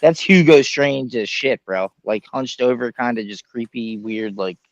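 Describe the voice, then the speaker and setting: A man's voice: medium pitch, measured pace, dry recording. A man chatting casually with friends in a slangy, laid-back way, on a slightly lo-fi microphone. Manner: slangy, laid-back, says bro often. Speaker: podcast panelist. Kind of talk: casual film discussion with friends.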